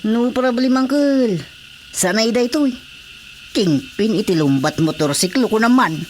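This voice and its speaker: A woman's voice: fairly high pitch, moderate pace, darkish tone, close to an everyday voice. A woman acting a cocky, cheerful young relative in an Ilocano radio drama comedy. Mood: boastful. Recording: faint background noise.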